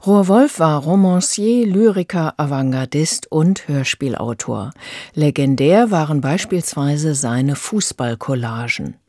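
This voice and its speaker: A woman's voice: sits low, expressive, unhurried, warm tone, dry recording, studio quality. A woman presenting a scripted introduction on the radio.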